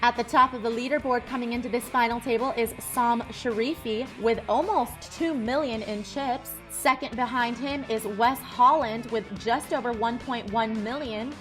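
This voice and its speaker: A woman's voice: fairly high pitch, fairly even intonation, moderate pace, hardly any pauses, faint music behind. A woman co-hosting a poker broadcast, giving sports-style commentary and analysis.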